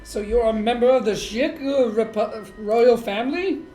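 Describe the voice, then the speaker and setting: A woman playing a wry, weary male private detective in a noir parody, speaking in a dry deadpan. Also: fairly high pitch, normal speed, lightly acted. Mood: deadpan, skeptical. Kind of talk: noir parody dialogue and narration. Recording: roomy echo, faint music underneath.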